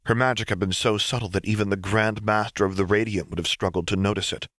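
A man with a low voice narrates a story in a dramatic, scripted audiobook style. The recording is close and dry, with no room sound. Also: steady tone, fast, fairly bright tone, no echo.

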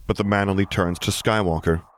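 A man reading a story aloud as an expressive audiobook narrator. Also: sits low, fairly quick, slight background noise.

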